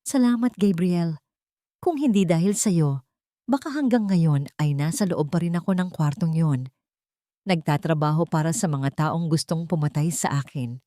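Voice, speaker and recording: A woman voicing a proud, wronged female doctor in a narrated drama, composed and deliberate. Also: sits low, dark tone, dry recording, clean studio sound, natural voice. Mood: grateful.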